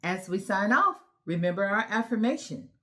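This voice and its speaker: Animated woman hosting children's storytime. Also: mid-range voice, moderate pace. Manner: encouraging, instructive, addresses young children with questions. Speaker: children's read-aloud host and teacher.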